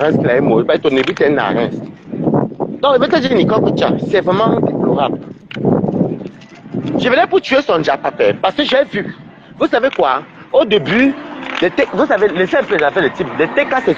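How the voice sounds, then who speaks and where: A man's voice: a little high, lo-fi sound, noisy background. A man with a cold, rambling and scolding on a livestream, with many stumbling restarts. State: stuffy with a cold.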